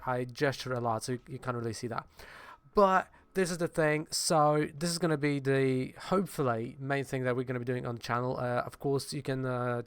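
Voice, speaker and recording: A man's voice: mid-range voice, fairly bright tone, smooth, dry recording. A young man whose first language is Polish, hosting his own channel in a loose, rambling vlog chat with many fillers and self-corrections. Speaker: channel host.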